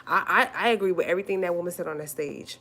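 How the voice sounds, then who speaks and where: A forty-year-old woman talks straight to her livestream viewers. Her voice sits very low, and she hammers her points home by repeating them. She calls listeners honey as she goes. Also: fast talker, bright, forceful tone, slight background noise. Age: 40s. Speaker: livestream host. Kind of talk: casual livestream talk.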